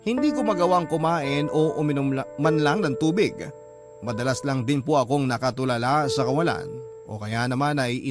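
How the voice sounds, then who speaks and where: Guy acting sad young man telling his regrets, radio drama narration, mid pitch, earnest, really loud music behind. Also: close to an everyday voice. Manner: sorrowful, earnest.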